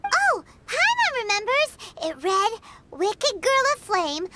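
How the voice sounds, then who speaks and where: A woman voicing a tiny, excitable childlike fairy companion in a video game cutscene, with a squeaky voice. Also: takes it slowly, noisy background, heavily acted. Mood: theatrical. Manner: excitable, speaks of self in third person. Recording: loud music under the voice.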